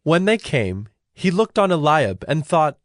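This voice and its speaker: A man narrating a scripted Bible story in a formal, measured storytelling manner. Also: a little high, sing-song, dry recording, studio quality. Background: music.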